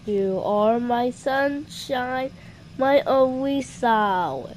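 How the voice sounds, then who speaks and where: High-pitched, excitable female puppet show performer. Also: extremely slow, noisy background. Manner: excitable, exclamatory. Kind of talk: improvised playful puppet show dialogue.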